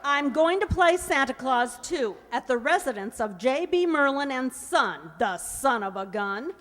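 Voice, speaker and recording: A woman's voice: high voice, animated, measured pace, fairly bright tone. A woman acting a feisty, wisecracking young working woman in a radio comedy play, brash, slangy and emphatic. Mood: breezy, insistent. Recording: echoey hall.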